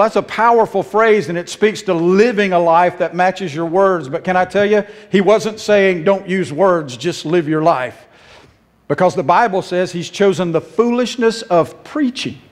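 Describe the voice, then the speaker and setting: A man in his fifties with a Southern American accent, preaching a sermon on a church stage and moving around as he speaks. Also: sits high. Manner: earnest, emphatic, pacing the stage and gesturing. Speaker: pastor. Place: church sanctuary stage, indoors.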